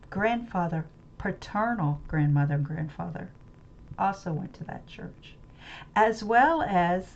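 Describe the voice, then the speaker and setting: A woman's voice: fairly low pitch, a little mellow. An American woman thinking aloud about a book she read, rambling and searching for words with many restarts. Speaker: webcam channel host. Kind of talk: unscripted musing monologue about a book. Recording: slightly dull lo-fi audio with faint ambience.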